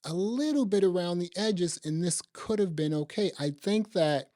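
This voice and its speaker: A man's voice: high-pitched, normal speed, mellow, no room sound, clean studio sound. A man co-hosting a podcast, reviewing films in casual, slangy talk and often checking for agreement. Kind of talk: casual film review chat.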